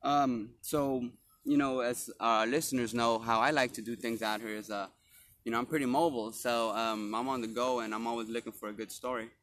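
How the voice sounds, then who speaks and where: A man hosting a casual interview podcast in a park, talking in a relaxed way with lots of fillers. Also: mid-range voice, a little fast, a little hiss or hum. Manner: relaxed, filler-heavy, friendly. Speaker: podcast host. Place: outdoors in a park.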